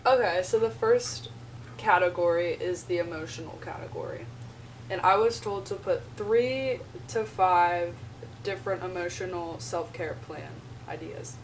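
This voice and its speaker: Slightly raspy young woman, chatty vlog. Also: on the low side, measured pace. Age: young adult. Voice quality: chatty, lots of "like" and "um". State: slightly raspy from a sore throat. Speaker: college student vlogger. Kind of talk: casual vlog talk. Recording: slightly distant mic with faint noise.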